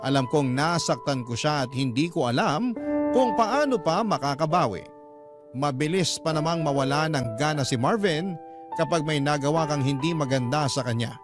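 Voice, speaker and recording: An actor narrating a radio drama as a remorseful, jealous spouse, in an earnest confessional way. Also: male voice, a little high, expressive, normal speed, over music, close to an everyday voice. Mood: regretful. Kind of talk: scripted first-person radio drama narration.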